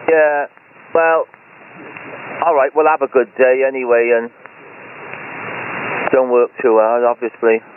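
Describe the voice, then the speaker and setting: A man's voice: mid-range voice, flat delivery, measured pace, pauses often. A man with a British accent chatting casually over ham radio, hesitant and agreeable, stumbling over his words. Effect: ham radio transmission. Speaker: amateur radio operator. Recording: tinny shortwave radio link with hiss.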